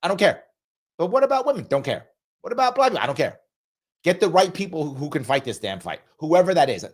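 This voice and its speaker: A man's voice: a little high, big pitch swings, fast talker, slightly rough. A man hosting a political talk show, reading out viewer comments and answering them bluntly, repeating his points, with a cough he is getting over. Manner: blunt, emphatic, repeats himself for emphasis. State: lingering cough.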